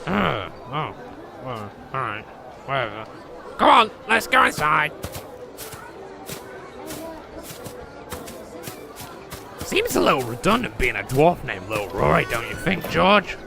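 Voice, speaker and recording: A man's voice: mid-range voice, very animated, normal speed, creaky, over music, crowd noise. A man voicing a foul-mouthed, short-tempered, bossy adventurer in a comedic fantasy audio drama, ranting and sarcastic. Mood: grudging. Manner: crude, ranting, sarcastic, swinging into shouts.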